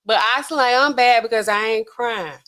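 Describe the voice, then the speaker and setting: A woman, hosting a casual livestream and chatting through viewer comments in a sassy, animated way. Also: a little high, normal speed, smooth. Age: 40s. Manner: chatty, sassy, animated swings between loud and hushed. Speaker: livestream host.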